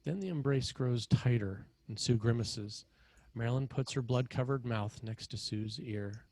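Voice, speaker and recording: A man reading screenplay action as narrator, in clipped, dramatic, measured phrases. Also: medium pitch, darkish tone. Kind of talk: scripted screenplay narration, present tense.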